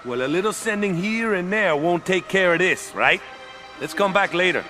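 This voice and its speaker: A man's voice: sits high, expressive, moderate pace, bright, forceful tone, soft background music. A man playing a pragmatic, protective young companion in a fantasy audio drama, with casual, plain-spoken delivery. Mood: placating.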